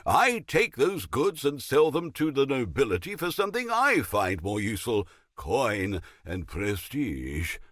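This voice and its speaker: A man's voice: mid-range voice, huge pitch swings, a little slow, hardly any pauses, fairly bright tone, no echo, studio quality, heavily acted. A man playing a middle-aged, pompous, scheming naval captain and shady fence in a video game, theatrical and unctuous as he savors his words. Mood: smug, playful. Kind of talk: video game character dialogue.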